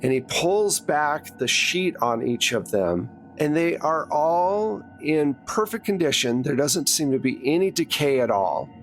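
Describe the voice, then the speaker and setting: A man narrating a horror tabletop roleplaying game as game master, in a deliberate, descriptive way. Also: a little high, animated. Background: background music.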